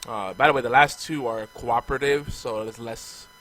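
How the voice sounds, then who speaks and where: A man co-hosting a casual podcast, chatty and relaxed, his voice going hoarse as he gets sick. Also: medium pitch, normal speed. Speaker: podcast co-host. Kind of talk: casual chat.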